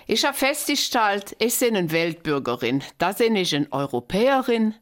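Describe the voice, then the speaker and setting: A woman's voice: mid-range voice, very animated, normal speed. A woman from German-speaking Belgium hosting a dialect radio interview, with an East Belgian German dialect and a chatty way of prompting her guest with short questions. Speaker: radio host.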